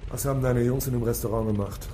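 Schauspieler als schroffer, sarkastischer Kommissar, weicher Klang, hallig. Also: male voice, mid-range voice, steady tone, quick pace, dark tone, roomy sound, a little hiss or hum, lightly acted. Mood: pressing, stern. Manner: brusque, sarcastic, commanding. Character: impatient, sarcastic male police detective in charge. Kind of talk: radio drama acting.

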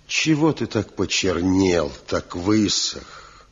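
A man's voice: deep voice, sing-song, slow, husky, full character voice. An actor playing a pious elder master craftsman in a 1970s radio play, earnest and reproachful as he pleads. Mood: pleading. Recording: dull lo-fi old radio recording.

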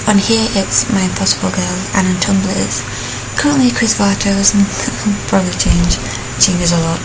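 A young woman with a polished Yorkshire accent answers questions in a relaxed, rambling way, full of ums and sarcastic asides. Her pitch sits mid-range. A steady hiss of noise runs under the recording. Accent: posh Yorkshire. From South Yorkshire, England. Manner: chatty, self-mocking, lots of ums. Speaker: vlogger. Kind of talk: casual Q&A vlog.

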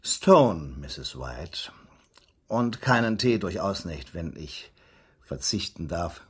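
A man playing a formal, methodical police inspector in a radio drama, measured and courteous as he probes, with slight hesitations. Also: low voice, big pitch swings, husky, exaggerated voice. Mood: formal, probing. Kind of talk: German radio drama acting.

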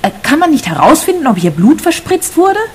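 A woman's voice: high-pitched, sing-song, brisk. An actress playing an anxious, earnest woman who seeks a detective's protection, in radio play dialogue. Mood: nervous, evasive. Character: anxious, insistent female client seeking protection.

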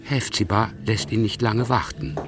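Very low-voiced male radio play narrator. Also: steady tone, normal speed, faint music behind. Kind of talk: scripted literary narration.

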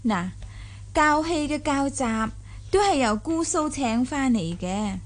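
A woman playing a shrewd, lively young mistress of a rich household in a Cantonese audio drama, chatting and explaining smoothly. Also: high-pitched, takes it slowly. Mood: confiding.

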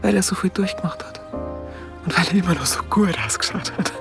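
An actress playing a tender, smitten woman who recalls her lover in a soft, breathy, confiding voice. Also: fairly low pitch, brisk. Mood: tender, wistful. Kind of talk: intimate radio play monologue. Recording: studio radio drama with soft music.

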